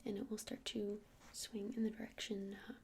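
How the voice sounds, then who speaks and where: A woman speaks in a breathy, close-up ASMR whisper with a crackly, creaky edge. She plays a friendly witch shopkeeper, rambling gently and hesitantly with little rise or fall in pitch. A faint noise sits behind her voice. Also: level tone, normal speed. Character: friendly witch shopkeeper selling crystals and incense. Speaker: ASMR roleplayer playing a crystal shop witch.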